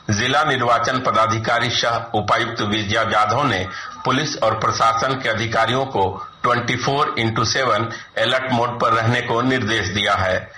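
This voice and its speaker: A man's voice: medium pitch, pushed and bright. A man filing a scripted radio news report as a correspondent, in a formal reporting manner. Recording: echoey room, faint background noise.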